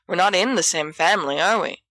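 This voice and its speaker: A woman's voice: fairly low pitch, fairly quick, fairly bright tone, dry recording, lightly acted. A woman voicing an imperious, flippant teenage schoolboy with a commanding, teasing manner in an audiobook story. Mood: musing.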